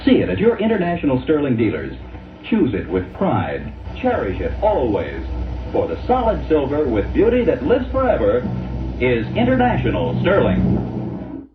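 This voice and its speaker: A smooth-selling announcer from old-time radio advertising. His delivery swings with lively intonation. The recording is heavily muffled and sounds roomy, as if from an old broadcast. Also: mid-range voice, moderate pace, recorded from a distance, over music. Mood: persuasive.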